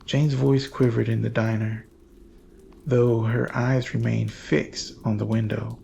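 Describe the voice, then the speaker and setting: A man reading scripted horror narration in a grave, measured, ominous manner. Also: medium pitch, level tone, gravelly, mellow, husky, muffled recording.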